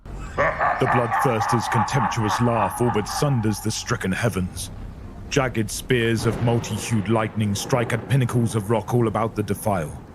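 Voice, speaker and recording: A man reading dramatic scripted narration for a dark audio story. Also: lowish voice, steady tone, unhurried, very noisy. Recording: narration over loud music.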